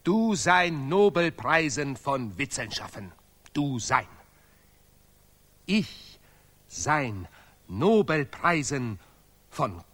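A high-pitched, pompous, declaiming artist. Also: male voice, fairly bright tone, heavily acted. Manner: declamatory, emphatic, chanting broken German with repetitions. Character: pompous male Nobel laureate artist, vain and touchy. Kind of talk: stylized avant-garde radio play acting.

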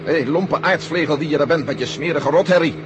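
Casting: man as gruff, blustering army general for radio drama, higher-pitched, commanding, indignant, noisy engine drone. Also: fairly quick, close to an everyday voice. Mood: angry, defiant. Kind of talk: 1970 Dutch radio drama acting.

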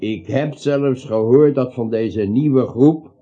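A man's voice: mid-range voice, slow pace. An actor in a Dutch radio play, playing a brusque, self-assured policeman with an assertive, matter-of-fact delivery. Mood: persuasive. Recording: dull, muffled radio play recording.

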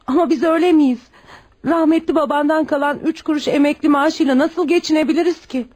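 A woman acting as a self-sacrificing widowed mother in a radio play. Her voice sits fairly high and is soft-edged and mellow, and she pleads with tender, emphatic devotion. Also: steady tone, fast, close to an everyday voice. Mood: resigned, pleading. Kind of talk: scripted radio drama acting.

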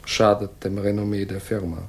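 An actor playing a menacing enforcer, courteous and reproachful with a quiet threat, in a 1960s radio crime drama. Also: male voice, lowish voice, little pitch movement, normal speed, lightly acted. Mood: polite menace. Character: menacing male enforcer, falsely polite and reproachful.

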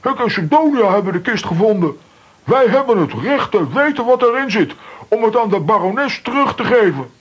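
An eager cartoon adventurer in a children's audio drama. He sounds high and very animated, theatrical and sure of himself, on a slightly dull recording. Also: normal speed. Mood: insistent. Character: eager, self-assured adult male cartoon adventurer. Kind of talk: children's comic-strip radio play.

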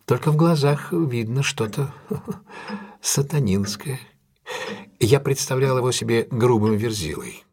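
An actor playing a shrewd, ironic gentleman detective in an audio drama, with a husky, measured, commanding delivery. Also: mid-range voice, expressive. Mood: intrigued. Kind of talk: Russian audio drama acting.

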